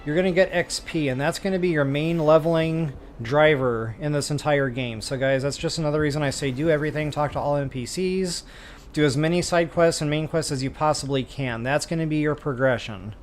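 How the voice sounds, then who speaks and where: Higher-voiced man doing game commentary. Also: brisk, a little hiss or hum. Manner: chatty, addresses viewers informally. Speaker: gaming streamer. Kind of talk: casual video game walkthrough commentary.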